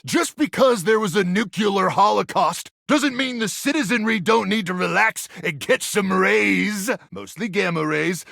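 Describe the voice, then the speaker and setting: A cocky, goofy wasteland soldier from a video game, with a forceful, gravelly voice. He delivers everything like a dramatic mission briefing, swooping up and down with macho bravado and dropping into deadpan asides. The sound is close and dry. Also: sits high, no room sound, exaggerated voice. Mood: brisk, self-important. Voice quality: gravelly, raspy. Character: gung-ho, cocky, goofy male post-apocalyptic soldier, bossy and macho. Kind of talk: exaggerated video game character acting.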